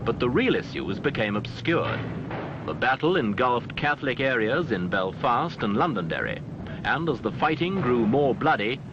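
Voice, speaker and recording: Male newsreel narrator, animated pitch, formal and dramatic phrasing, dull noisy archival soundtrack. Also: moderate pace. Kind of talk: scripted documentary newsreel narration.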